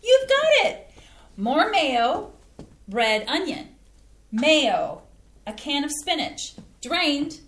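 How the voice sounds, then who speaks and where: Lady reading as a fed-up mom, high bright voice that swoops a lot, roomy stage sound. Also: sing-song, very slow, pauses often, heavily acted. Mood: cheery. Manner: emphatic, scolding. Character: fed-up middle-aged mother and wife. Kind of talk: amateur staged play reading. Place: theater stage.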